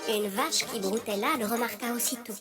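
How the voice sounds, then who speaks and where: A woman with a fairly high voice narrates a children's fairy tale from a script. Music plays clearly behind her. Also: moderate pace.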